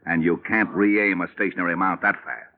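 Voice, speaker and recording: Gruff police sergeant. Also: male voice, low voice, steady tone, a little hiss or hum. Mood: businesslike. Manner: brisk, businesslike, plain-spoken. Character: gruff matter-of-fact male police sergeant. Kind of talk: 1950s radio drama acting. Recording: tinny old radio broadcast.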